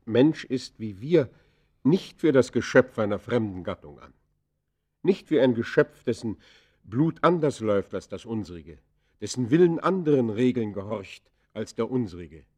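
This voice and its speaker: A penitent criminal in a classic radio play. The voice is very deep and dark, speaking in a solemn, literary manner, halting and brooding as he confesses. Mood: earnest, searching. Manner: formal, solemn, halting stage delivery. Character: penitent male criminal confessing his life, earnest and brooding.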